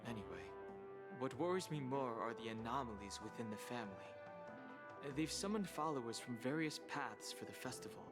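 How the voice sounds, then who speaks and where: An actor playing a calm, analytical male outsider in a video game, speaking in a measured, precise, formal way. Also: medium pitch, close to an everyday voice. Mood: wary, serious. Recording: loud music behind.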